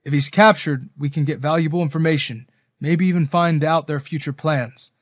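A man voicing a stern army sergeant in a story, loud and commanding. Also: a little high, steady tone, normal speed, dry recording, clean studio sound, close to an everyday voice. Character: stern army sergeant giving orders to troops.

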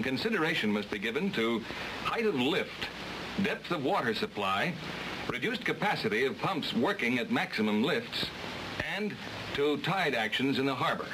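A man giving scripted, matter-of-fact instructional narration for a training film. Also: fairly high pitch. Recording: hissy old film transfer.

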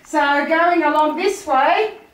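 A woman's voice: very high-pitched, takes it slowly. An older Australian woman, an auctioneer, chatting casually as she points out items while walking through a house. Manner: chatty, pointing things out, laughs easily. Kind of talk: casual walkthrough chat. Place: large house, indoors. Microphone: handheld phone camera. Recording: echoey rooms.

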